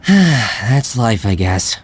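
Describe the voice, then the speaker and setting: A man's voice: lowish voice, sing-song, extremely slow, husky, heavily acted. A man playing a baffled, resigned young shopkeeper in a video game. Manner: bemused, resigned. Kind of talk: English video game voice acting.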